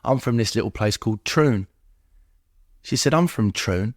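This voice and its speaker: A man's voice: mid-range voice, expressive, normal speed, no room sound, clean studio sound. A middle-aged man, speaking as a podcast guest and telling personal recovery stories, acting out what people said. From England.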